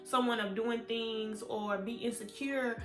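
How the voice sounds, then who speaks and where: A woman's voice: a little high, steady tone, measured pace, fairly bright tone. A woman, chatting candidly to her viewers in a storytime vlog. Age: 20s. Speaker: vlogger. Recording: echoey room with faint music.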